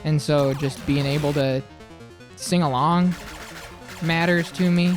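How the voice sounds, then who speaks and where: A man with a high voice chatting casually on a livestream, blunt and opinionated, swearing freely. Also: fairly even intonation, takes it slowly, faint music behind. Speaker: livestream co-host. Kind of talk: casual chat on a gaming stream.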